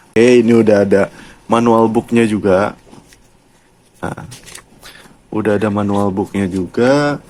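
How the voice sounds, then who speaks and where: A man with a colloquial Jakarta Indonesian accent, giving a casual, chatty product review and addressing viewers like mates. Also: fairly low pitch. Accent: Jakarta-style colloquial Indonesian. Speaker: YouTube motovlogger and product reviewer.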